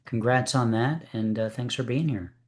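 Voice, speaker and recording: A man's voice: medium pitch, moderate pace. A man hosting an open poetry reading in an informal, appreciative and encouraging manner. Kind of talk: casual live event hosting.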